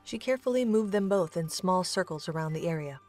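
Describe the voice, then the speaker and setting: A woman narrator reads a scripted story in a slightly low voice. Soft music plays behind her narration. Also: lively intonation, a little fast.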